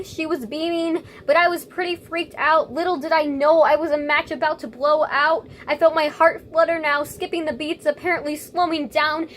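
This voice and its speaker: A woman's voice: sits high, fairly bright tone, a little hiss or hum. A young woman performing spoken-word slam poetry with an earnest, dramatic and rhythmic delivery. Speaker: slam poet.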